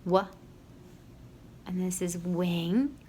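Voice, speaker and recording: A woman reading a picture book aloud to young children in an animated, teacherly way. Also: lowish voice, very slow, slight background noise. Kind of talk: expressive children's read-aloud.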